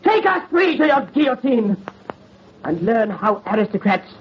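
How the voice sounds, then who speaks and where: An actor playing a proud teenage French noble son in an old radio melodrama, earnest and declamatory. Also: male voice, extremely high pitch, very animated, normal speed. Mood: defiant. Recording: muffled, hissy vintage radio recording.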